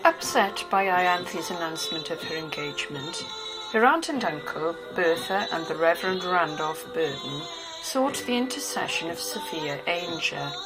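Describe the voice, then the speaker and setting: Low-voiced woman narrating a novel. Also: expressive, normal speed, music in the background, rough recording. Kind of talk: scripted literary narration.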